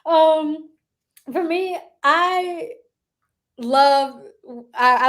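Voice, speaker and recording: A woman co-hosting a casual podcast chat, agreeable and quick to laugh. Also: on the high side. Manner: warm, agreeable, laughs easily. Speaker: podcast co-host.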